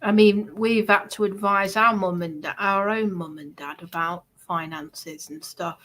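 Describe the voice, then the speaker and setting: A woman chatting casually about personal matters in a hesitant, halting way. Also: mid-range voice, fairly even intonation, a bit bright, slight background noise.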